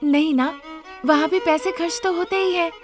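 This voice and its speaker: A woman's voice: very high voice, steady tone, moderate pace, over music, pitched above the performer's own voice. A woman voicing an eager, persuasive young celebrity daughter-in-law in an emphatic, pleading way, in a story.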